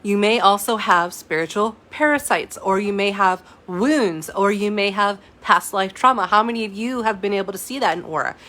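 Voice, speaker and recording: A woman teaching a live online class as a spiritual coach, talking casually and pausing to read viewers' comments, with many fillers and asides. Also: mid-range voice, pushed and bright. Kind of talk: live-stream teaching, reading chat comments.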